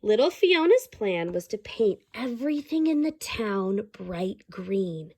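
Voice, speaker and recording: A woman reading a children's story aloud, pausing to explain things simply to her listeners. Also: mid-range voice, very animated, warm tone. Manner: pauses to explain words and ask listeners questions.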